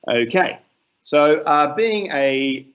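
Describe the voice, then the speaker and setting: A middle-aged New Zealand man presents a teaching webinar over a video call. He talks in a relaxed, unhurried way, full of fillers. The call audio is somewhat muffled. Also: on the high side.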